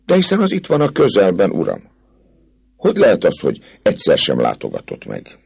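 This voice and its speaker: A man's voice: low-pitched, wildly swooping pitch, vocal fry, a little mellow, breathy edge, no room sound, voice pushed up, exaggerated voice. A man voicing an inquisitive, coquettish young noblewoman who pouts and presses with questions. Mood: insistent. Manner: pouting, questioning.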